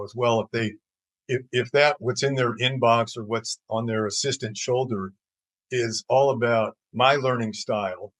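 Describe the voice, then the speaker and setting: An older American man speaks as an expert guest in an interview, with a medium-pitched voice. His delivery is measured and explanatory, with some hesitant phrasing, and the sound is dry. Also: no echo. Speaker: interview guest, healthcare executive. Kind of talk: conversational interview answers.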